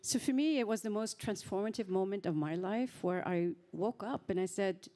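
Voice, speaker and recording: A woman who speaks on a panel, telling her story in an earnest, reflective way with thoughtful pauses. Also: medium pitch, warm tone. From Norway. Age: 50s.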